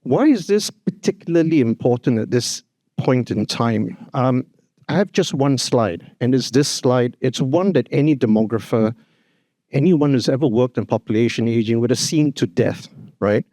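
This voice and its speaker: A man's voice: mid-range voice, lively intonation, moderate pace, warm tone, dry recording. A man giving a formal opening address at a conference, speaking earnestly and emphatically, often adding "you know" and "actually". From Singapore. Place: hotel conference hall.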